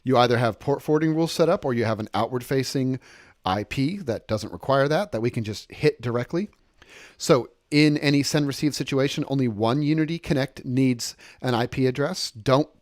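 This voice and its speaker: A man with a medium-pitched voice walks listeners through software on a shared screen. He explains informally, one step after another, and circles back to stress important points. The recording is dry, with very little room sound. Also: moderate pace, no room sound. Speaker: software instructor and demonstrator.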